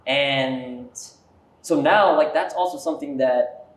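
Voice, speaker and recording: A man with a medium-pitched voice hosts a casual interview. His phrasing is informal and loose, full of "like" as he works toward his questions. The sound is noticeably roomy, with clear echo. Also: slow. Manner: informal, filler-heavy with frequent "like".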